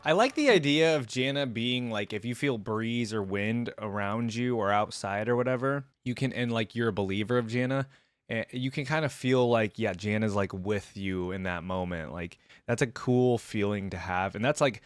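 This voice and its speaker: A man's voice: lowish voice, normal speed, clean tone, no room sound, clean studio sound. A man giving casual, slangy and enthusiastic reaction commentary as a host. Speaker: host reacting to game voice lines.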